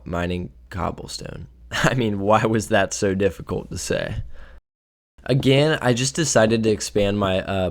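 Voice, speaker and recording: A young man with a slightly raspy voice from a cold, casually narrating his gameplay and sometimes tripping over his words. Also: sits low. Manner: casual, offhand, trips over words now and then. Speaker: gaming video creator.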